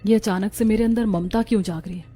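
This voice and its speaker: A woman's voice: medium pitch, steady tone, fast, warm tone, breathy edge, close to an everyday voice. A woman voicing a proud young daughter-in-law in a melodramatic cartoon family drama. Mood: anguished.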